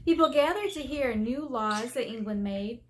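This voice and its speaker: A woman teacher reading aloud to children in an expressive way, asking questions and drawing out key words for emphasis. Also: fairly high pitch, normal speed. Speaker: elementary school teacher. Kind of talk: read-aloud lesson for children. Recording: faint background noise.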